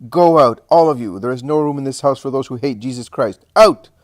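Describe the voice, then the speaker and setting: A man's voice: mid-range voice, normal speed, no echo, clean studio sound, lightly acted. Man as a fierce, thundering, defiant woman. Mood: wrathful. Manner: thundering, commanding. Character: fierce, defiant woman of absolute, imperious faith.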